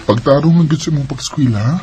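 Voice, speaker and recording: A man's voice: fairly high pitch, quick pace, darkish tone, natural voice. A man playing a protective, hardworking older brother in a Filipino Bisaya radio drama. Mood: tender, earnest. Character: protective, hardworking older brother raising his younger sister.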